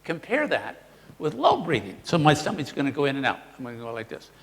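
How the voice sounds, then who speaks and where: A man's voice: on the high side, a little fast, in a large hall. A man presenting a live workshop, teaching the audience and acting out voice examples himself. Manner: animated, demonstrative, models voices for the audience.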